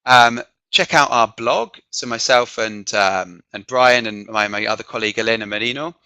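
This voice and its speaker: An English man from the south whose accent carries some northern touches, presenting an online teaching session over a computer microphone. His tone is bright and forward, and the sound is dry and close. He keeps checking in with a quick "okay?" and fills his pauses with um. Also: mid-range voice, smooth, no echo. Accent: mixed southern and northern English. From south of England. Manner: frequent "okay?" check-ins and filler ums. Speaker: webinar presenter, teacher trainer. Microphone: computer microphone on a video call.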